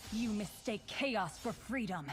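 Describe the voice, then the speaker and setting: A woman's voice: medium pitch, animated, measured pace, close to an everyday voice. A woman playing a solemn, righteous warrior of light and order in a video game, speaking in stately, archaic declarations. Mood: stern, defiant. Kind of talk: video game character voice lines. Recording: music behind the voice.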